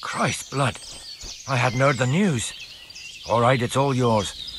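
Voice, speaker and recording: A man's voice: medium pitch, slow, background noise, lightly acted. A man playing a plain, dutiful tradesman in a medieval video game. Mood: cautious, obliging. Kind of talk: video game dialogue acting.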